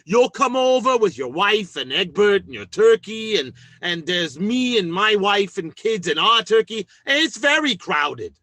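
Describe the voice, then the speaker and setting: An actor playing a scheming, self-important working-class husband in an old-time radio sitcom, with blustery, folksy speech. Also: male voice, sits high, big pitch swings, measured pace, hardly any pauses, pushed and bright, dry recording, full character voice. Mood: awkwardly persuasive.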